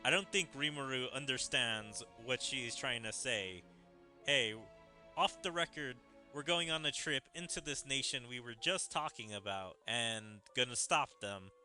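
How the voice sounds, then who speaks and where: A man's voice: medium pitch, a little slow, sharp tone, faint music behind. A man giving casual gameplay commentary, thinking aloud about the story as he goes. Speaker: gaming streamer reading game dialogue aloud. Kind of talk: gameplay commentary with dramatic read-aloud of subtitles.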